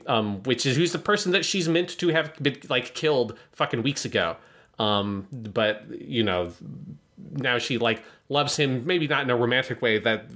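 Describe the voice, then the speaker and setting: A man's voice: medium pitch, fairly bright tone. A man co-hosting a podcast, casually talking through an in-depth review in a rambling, hesitant way with frequent swearing. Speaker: podcast co-host.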